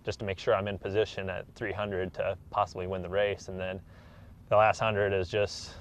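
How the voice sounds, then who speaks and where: A man's voice: low-pitched, flat delivery, moderate pace. A man in his twenties, an athlete giving casual, matter-of-fact explanations in an interview. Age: 20s. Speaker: elite track athlete being interviewed. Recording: dull, muffled lo-fi recording.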